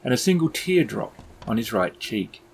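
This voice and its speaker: A man working as game master, narrating scenes in measured, descriptive, literary language. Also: medium pitch, big pitch swings, creaky. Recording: background ambience noise under voice.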